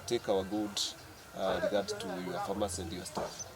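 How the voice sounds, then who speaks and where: Low-voiced hesitant man amid loud crowd. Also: little pitch movement, normal speed. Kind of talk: brief informal farewell remarks.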